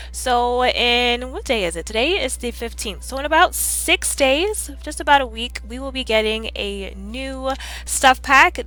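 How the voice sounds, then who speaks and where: A young woman chatting casually on a live stream, upbeat and rambling, with a slightly hoarse, scratchy throat. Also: on the high side, fairly bright tone.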